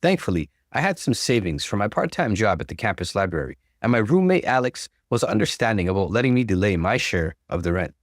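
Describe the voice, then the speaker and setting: A man narrating a first-person story in an earnest, clearly articulated, dramatic way. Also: fairly low pitch, big pitch swings, brisk, few pauses, dry recording, clean studio sound.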